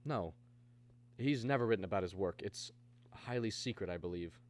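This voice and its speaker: A man's voice: fairly low pitch, expressive, normal speed, a little mellow, lightly acted. An actor playing an earnest American math teacher and family man who tells his story in a 1950s radio drama style. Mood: casual, puzzled. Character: earnest abducted math teacher, husband and father, narrating.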